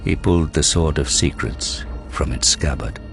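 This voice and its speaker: Very deep-voiced male dramatic narrator. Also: moderate pace. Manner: intense, grave, literary delivery. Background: prominent music and sound effects.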